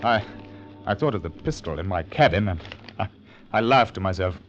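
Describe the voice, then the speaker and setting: Lead-narrator man, mid voice, lively delivery, dull old radio sound with music under. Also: low voice, moderate pace. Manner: tense first-person storytelling. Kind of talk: 1940s radio acting.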